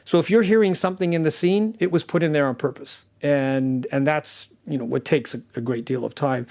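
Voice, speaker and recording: A man speaks in an interview as a sound designer, in a slightly high voice. He explains his work earnestly and leans on key words for emphasis. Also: brisk, vocal fry, a little mellow. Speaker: audio drama sound designer.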